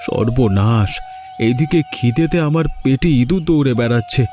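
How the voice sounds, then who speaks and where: A poor, hungry farmer husband pleads for something to eat, voiced by a man in a cartoon. His voice sits at an everyday male pitch and he complains in a weary way. Faint music plays behind him. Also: lively intonation, normal speed, dark tone, natural voice. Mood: pleading. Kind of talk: cartoon dubbing.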